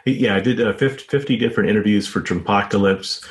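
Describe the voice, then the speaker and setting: Male interview guest, author type. Low voice, halting explanatory delivery with repeated words and frequent "you know".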